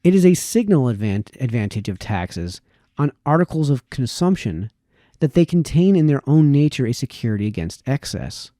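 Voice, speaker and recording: A man reading formal historical prose aloud in a measured, deliberate way, with occasional stumbles over words. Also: medium pitch, big pitch swings, warm tone, dry recording, clean studio sound. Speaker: reader, host of a reading series.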